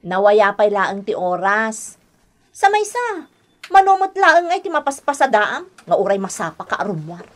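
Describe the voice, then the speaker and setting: A woman acting a lazy, scolding young wife and harsh aunt in a radio drama, sharp and complaining. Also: mid-range voice, big pitch swings, normal speed, close to an everyday voice. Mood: bossy, demanding.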